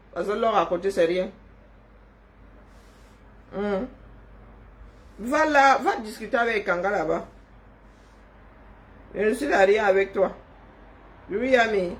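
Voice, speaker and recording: A woman delivers teasing, scolding commentary to viewers through her phone or webcam. She talks slowly and drawls her words, mocking her critics with sarcasm. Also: mid-range voice. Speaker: online commentator. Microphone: webcam or phone on a screen.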